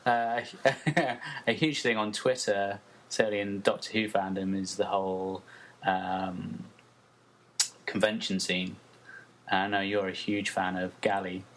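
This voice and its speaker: A man's voice: lowish voice, little pitch movement, slow, fairly bright tone. A man from the UK hosting a podcast interview, easygoing and encouraging. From United Kingdom. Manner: easygoing, encouraging, laughs along. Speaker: podcast host.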